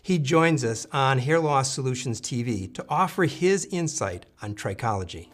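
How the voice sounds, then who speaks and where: A man's voice: mid-range voice, expressive, moderate pace. A man hosting an interview show, introducing his guest and putting questions to him.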